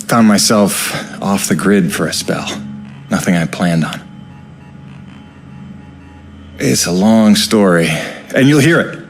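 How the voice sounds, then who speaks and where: Low-voiced, folksy working man. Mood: tender, apologetic. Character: plain-spoken, weary, tender working man, rig pilot. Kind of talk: video game voice acting. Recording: loud music and engine rumble behind.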